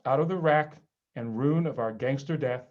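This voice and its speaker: A man reciting poetry at a reading in a dramatic, emphatic way, with deliberate phrasing and pauses. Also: medium pitch, dull audio. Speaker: reader at a literary read-in.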